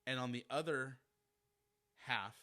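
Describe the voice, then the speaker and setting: Animated, chatty man. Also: mid-range voice, slow pace. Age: 40s. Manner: chatty, animated, self-deprecating, addresses listeners directly. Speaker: podcast host. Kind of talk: casual solo podcast monologue.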